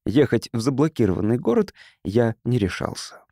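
A man reading a literary story as an audiobook narrator in a measured, expressive storytelling manner. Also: mid-range voice, vocal fry, no room sound, clean studio sound.

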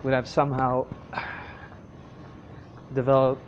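A man's voice: mid-range voice, completely flat delivery, slow. A young man from the Middle East, vlogging in a chatty, rambling way and often correcting himself, filmed on a camera held at arm's length outdoors, in a metro station and in a cafe. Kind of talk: casual vlog narration. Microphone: selfie camera at arm's length. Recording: dull lo-fi camera audio with loud street noise.

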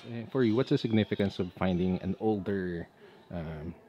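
A man's voice: low voice, moderate pace, dark tone, highs cut off, slight background noise. A man with a Filipino accent, asking casual questions as an interviewer on location. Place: cave excavation site. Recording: busy site chatter around voice.

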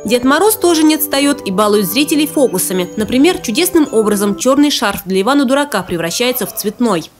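A woman's voice: on the high side, animated, a little fast, few pauses. A woman reporter reading a scripted voice-over for a television news feature. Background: faint music.

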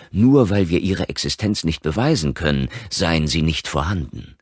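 A man voicing an opinionated psychiatrist in an audiobook, lecturing in a measured, earnest, emphatic way. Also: low-pitched, slightly rough, dry recording, clean studio sound, exaggerated voice. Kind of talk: audiobook character acting.

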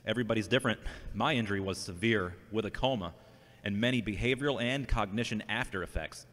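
A man's voice: on the low side, fast, fairly bright tone, lightly acted. An actor playing an earnest, defensive, self-doubting man recovering from a brain injury in a scripted audio drama. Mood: downcast, self-critical. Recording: long hall-like reverb.

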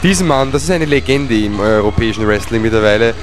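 A man's voice: medium pitch, expressive, fast, over music. A man doing comedic wrestling commentary, joking around and dropping in mock-French phrases.

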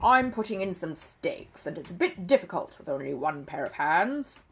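A woman voicing a gruff, bossy older male neighbour who barks his orders loudly. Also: lowish voice, very animated, moderate pace, slight background noise. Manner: loud, barking, stern.

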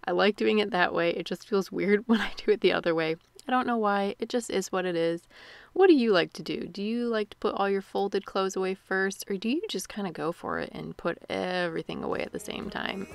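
A young woman chatting casually in a relaxed voice-over about cleaning her home and her baby son. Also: medium pitch, brisk, creaky, no room sound. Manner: chatty, relaxed, self-deprecating. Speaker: vlogger, mom.

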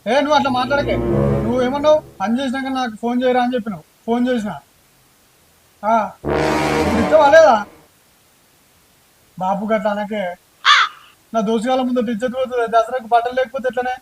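A young man plays a touchy, dramatic village lad with a Telangana Telugu accent. The voice sits very high and the words tumble out fast, whiny and pleading. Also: steady tone, music in the background, poor audio. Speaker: comedy skit actor.

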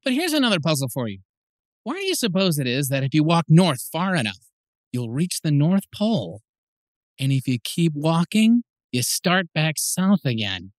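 A man's voice: on the high side, very animated, normal speed, no room sound. A man playing a teasing, jokey, easygoing dad in an audiobook. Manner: jokey, casual. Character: playful, teasing, easygoing dad of a young boy. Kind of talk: full-cast audiobook acting.

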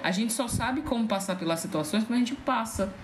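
A woman talks in a casual, chatty podcast style. She tells things informally, with lots of slang. The room gives her voice a clear echo, over faint noise. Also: fairly high pitch, expressive, a little fast. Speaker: podcast guest, voice actress.

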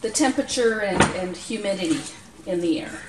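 Middle-aged woman, home cooking demonstration, unhurried practical talk, echoey kitchen, distant microphone. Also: mid-range voice, very animated. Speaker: home cooking show host. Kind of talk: cooking demonstration, explaining steps. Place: small home kitchen, indoors. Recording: roomy, distant mic, noisy kitchen clatter. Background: clattering dishes, utensils and clicks.

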